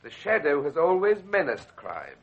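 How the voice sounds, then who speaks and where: An actor playing a suave, formal, pompous crime boss in an old radio drama, coolly scheming in a theatrical manner. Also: male voice, fairly high pitch, wildly swooping pitch, moderate pace. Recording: dull vintage radio recording.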